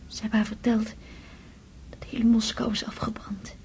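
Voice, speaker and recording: Actress as sorrowful young woman, high voice, half-whispered, anxious, fraught, literary radio play. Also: level tone, normal speed, lightly acted. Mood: tearful, anxious. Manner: hushed, breathy, fraught. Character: Natasja, anxious sorrowful young woman nursing dying man. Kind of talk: literary radio drama acting.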